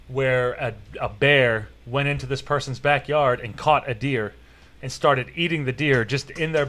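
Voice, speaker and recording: A man's voice: medium pitch, fairly bright tone. A man from Florida hosting a casual podcast, telling rambling stories with many fillers and frequent laughter. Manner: rambling storyteller, laughs often, filler-heavy. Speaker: podcast host. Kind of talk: casual chat.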